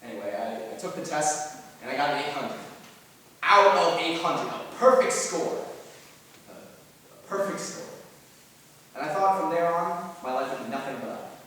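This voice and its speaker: A young man performing a dramatic monologue as an anxious twenty-year-old looking back on depression, halting and earnest, with theatrical swings in volume. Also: fairly high pitch, expressive. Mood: wry, reflective. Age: young adult. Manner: halting, earnest, swinging from quiet to loud outbursts. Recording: very echoey hall from far off, noisy.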